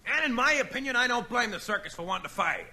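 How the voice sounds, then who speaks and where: An exasperated talent manager scolds in a very high, piercingly sharp voice. The delivery is forceful, hectoring 1940s radio comedy. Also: male voice, animated, full character voice. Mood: scolding. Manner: rapid-fire, forceful hectoring.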